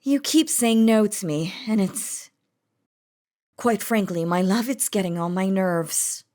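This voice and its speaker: A woman's voice: medium pitch, slow, warm tone, close to an everyday voice. A woman voicing a possessive, commanding demon queen in a romantic audio roleplay, dramatic and by turns threatening and doting. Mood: insistent, irritated.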